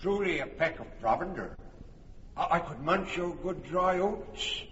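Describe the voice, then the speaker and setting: An actor playing a boastful, bumbling weaver with a donkey's head in a radio drama. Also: male voice, fairly high pitch, animated, a little slow, a bit bright, roomy sound, in a large hall, muffled recording, a little hiss or hum. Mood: contented. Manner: bumbling, pleased with himself. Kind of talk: classic stage radio drama.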